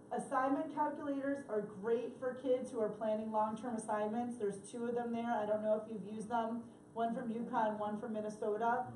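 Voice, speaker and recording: A woman's voice: fairly high pitch, dark tone, slight background noise. A woman leading a workshop in a casual, chatty way, often correcting herself and apologizing, her voice worn and slipping into coughs. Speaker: workshop presenter. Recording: echoey room from far off.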